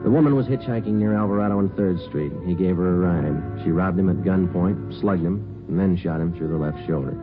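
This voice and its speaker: An actor playing a terse, steady police detective who gives clipped, deadpan lines in a 1950s radio drama. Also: male voice, deep voice, brisk, few pauses, lightly acted. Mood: matter-of-fact. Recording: narrow-band old radio recording with music.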